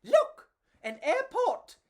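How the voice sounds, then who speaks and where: A woman voicing an excitable, adventurous little male cockroach in a storybook, with eager, theatrical exclamations. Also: very high voice, slow pace, voice pushed up, heavily acted. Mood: excited, eager.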